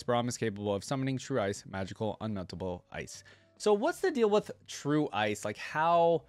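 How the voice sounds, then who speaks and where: A man's voice: mid-range voice, no echo. A man hosting a reaction video, musing aloud in an easygoing way and reading bits out as he comments.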